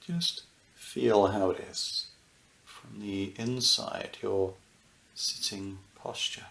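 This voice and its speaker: A man leading a guided meditation as a teacher, speaking soothingly in short phrases with unhurried pauses. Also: fairly low pitch, steady tone, gravelly, sharp tone, roomy sound, rough recording. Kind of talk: guided meditation, unscripted and gentle.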